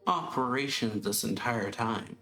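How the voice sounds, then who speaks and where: Very deep-voiced woman, casual gamer commentary. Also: a little slow, a bit bright, roomy sound, rough recording. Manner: brief, musing reactions to the game. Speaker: let's play streamer. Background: game music.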